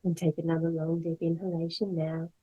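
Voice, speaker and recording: Low, husky woman guiding meditation. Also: level tone, phone-quality sound. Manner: measured, gentle phrasing with pauses. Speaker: yoga instructor guiding a meditation. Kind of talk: guided meditation, slow soothing instruction.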